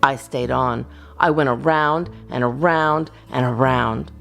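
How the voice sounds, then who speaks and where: A woman narrates a children's storybook as its host, in a slightly low voice that swings expressively. There is noticeable creak in her tone, and soft music plays behind her theatrical reading. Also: a little slow.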